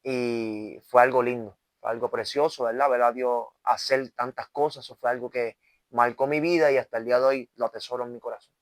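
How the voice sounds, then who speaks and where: A man's voice: medium pitch, fairly even intonation, a little fast, no echo. A man in his twenties with a Puerto Rican accent, an evangelist giving his testimony in an interview, earnest, tagging his sentences with "¿verdad?". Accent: Puerto Rican Spanish. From Puerto Rico. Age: 20s. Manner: earnest, with constant "¿verdad?" tags and restarts. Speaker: evangelist guest.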